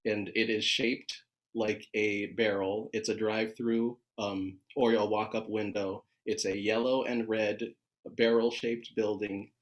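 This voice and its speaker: A man's voice: lowish voice, flat delivery, unhurried, poor audio. An American man from California, a choir conductor, talking in casual conversation. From San Diego, California, United States.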